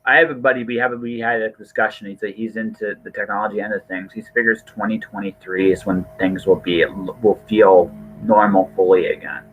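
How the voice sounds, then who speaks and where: A low-voiced man hosts a casual podcast over a thin, phone-like connection. He talks in loose, conversational runs, doubling back to repeat and reword his thoughts. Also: level tone, fairly quick, hardly any pauses, soft background music. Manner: hesitant, repeats and rephrases himself, hedging. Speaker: podcast host. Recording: thin, band-limited phone-like call audio.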